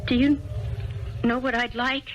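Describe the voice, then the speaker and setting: A woman's voice: fairly high pitch, level tone, unhurried, lo-fi sound, slight background noise, close to an everyday voice. Actress as a shy, affectionate young girl. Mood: tender. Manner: earnest, tender. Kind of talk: 1970s radio drama acting.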